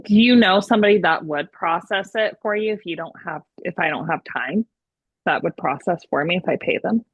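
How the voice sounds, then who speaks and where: Female call participant, creaky and husky, conversational delivery, slightly muffled lo-fi line. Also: fairly low pitch, fairly quick, dry recording. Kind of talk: casual conversational question and reply.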